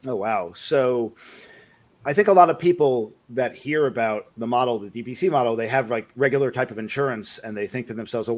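Conversational male podcast host. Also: medium pitch. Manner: relaxed, conversational, loosely phrased questions. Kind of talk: podcast interview.

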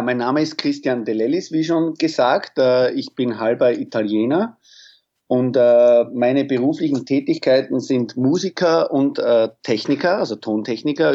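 A man, a guest musician answering radio interview questions in a halting way, searching for words. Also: mid-range voice, a little mellow, highs cut off. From Austria. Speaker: guest musician and sound engineer.

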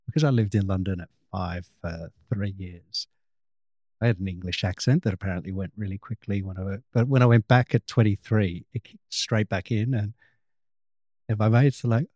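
Rambling Australian man, low voice. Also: normal speed, no echo. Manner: relaxed, rambling with frequent restarts. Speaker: photographer, guest. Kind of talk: casual interview chat.

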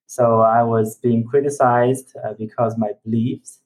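A man from China, a ministry executive, giving an earnest personal testimony on a remote call, often pausing to search for words. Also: medium pitch, steady tone, a little mellow, poor audio.

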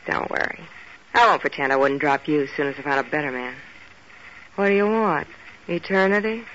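Sultry hard-boiled radio femme fatale. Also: female voice, low voice, fairly quick, a bit bright. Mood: taunting, seductive. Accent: American. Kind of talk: 1940s radio detective acting. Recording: dull, noisy old radio recording.

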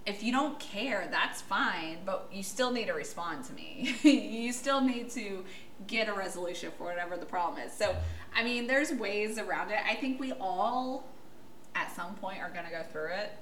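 A woman from the United States, a podcast guest, talking bluntly and loudly, laughing as she goes. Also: medium pitch, lively intonation, moderate pace, slight background noise. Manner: loud, outspoken, blunt, laughing. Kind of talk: casual podcast chat. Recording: echoey room.

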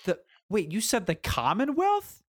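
A man playing a tabletop roleplaying game, improvising in a casual, halting, informal way. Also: sits high, huge pitch swings, dry recording, studio quality.

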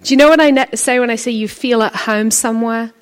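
Woman, loud voice, slightly high, chatty, storytelling, conference sermon. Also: fast, smooth. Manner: chatty, animated storytelling with acted quotes. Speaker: conference speaker.

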